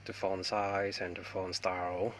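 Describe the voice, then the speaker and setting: Hong kong accent guy unboxing stuff, slow and unsure, bright voice, phone mic a bit far off with faint hiss. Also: sits low, little pitch movement. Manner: hesitant, apologetic, many ums. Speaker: vlogger. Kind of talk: casual product unboxing. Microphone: mobile phone.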